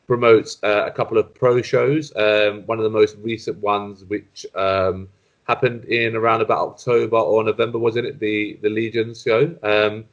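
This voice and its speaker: A man's voice: on the low side, little pitch movement, moderate pace. Hesitant man hosting a podcast. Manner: hesitant, many ums and self-corrections. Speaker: podcast host. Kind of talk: casual interview intro. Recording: dull lo-fi sound.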